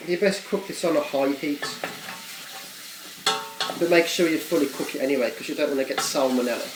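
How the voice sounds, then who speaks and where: A man's voice: a little high, a little fast. A British man giving a casual, unscripted home cooking demonstration, rambling along with offhand, self-deprecating asides. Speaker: home cook presenting a recipe video. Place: home kitchen. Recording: echoey kitchen with frying, clattering noise.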